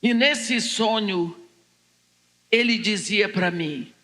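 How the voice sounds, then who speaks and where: An older Brazilian woman giving a personal testimony as a preacher, telling it deliberately and dramatically in short phrases. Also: medium pitch, a bit bright. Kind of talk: personal testimony told to a congregation. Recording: echoing large hall, long reverb.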